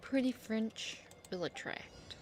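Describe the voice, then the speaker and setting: A woman's voice: on the high side, expressive, takes it slowly. A woman streaming a game, thinking aloud in short, casual, reactive remarks as she plays. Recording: game music behind voice. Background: video game music.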